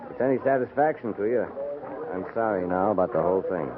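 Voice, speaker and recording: A man's voice: lowish voice, quick pace, crowd noise, close to an everyday voice. An actor playing an earnest, contrite cavalry private in a 1950s radio western, subdued and deferential in his brief replies. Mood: contrite, respectful. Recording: tinny 1950s radio recording.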